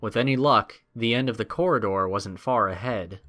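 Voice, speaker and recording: A man's voice: fairly low pitch, normal speed, a bit bright. A man narrating a scripted adventure story in a dramatic storytelling style.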